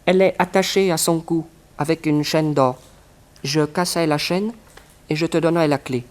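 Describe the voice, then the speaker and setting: Methodical male villain played by an actor, deliberate, terse, a little high-pitched, 1960s radio play. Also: steady tone, close to an everyday voice. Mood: cold, secretive. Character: cold, methodical male conspirator plotting a robbery.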